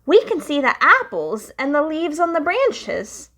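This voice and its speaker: A young woman reading a picture book aloud to children in a slow, sing-song storytelling way. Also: sits high, wildly swooping pitch, sharp tone.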